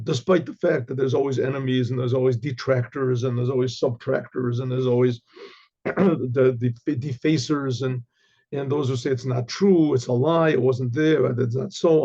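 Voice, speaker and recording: An older hoarse man, a rabbi, giving a Torah class with halting restarts and frequent throat clearing. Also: medium pitch, fairly even intonation, dark tone, lo-fi sound.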